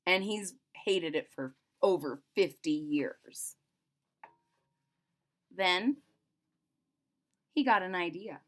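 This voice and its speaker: A woman reading a children's storybook aloud in an expressive, emphatic way, with simple explanatory asides for young listeners. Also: fairly low pitch, takes it slowly, long pauses.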